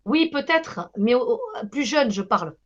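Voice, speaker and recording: Woman medium with a higher voice. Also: lively intonation, moderate pace, dull audio, a crowd in the background. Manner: hesitant, repeats herself, reassuring. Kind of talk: live medium reading with callers. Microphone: video call.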